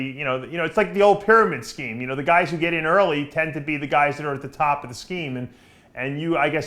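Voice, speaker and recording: Male talk show host, American accent, slightly high voice, rambling conversational questioning. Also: fairly bright tone. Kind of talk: conversational interview.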